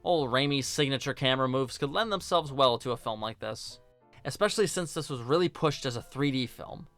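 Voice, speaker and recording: A man's voice: medium pitch, a little fast, a bit bright, soft background music. A man narrating a scripted film review in a casual, joking, sarcastic and colloquial way. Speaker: video host and film reviewer.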